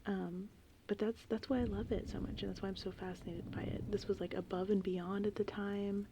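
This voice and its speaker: A woman rambling casually and informally in an ASMR style, with a soft, breathy voice that often slips into a whisper. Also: medium pitch, brisk, noisy background. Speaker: ASMR host. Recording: steady rain and thunder ambience. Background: gentle rain and thunderstorm sounds.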